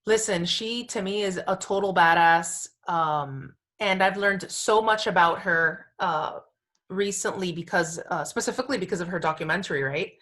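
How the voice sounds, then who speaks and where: A woman's voice: lowish voice, normal speed, fairly bright tone. Gushing, emphatic woman podcast host. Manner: gushing, emphatic, informal slang, repeats phrases for emphasis. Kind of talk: casual pop culture chat, ranting.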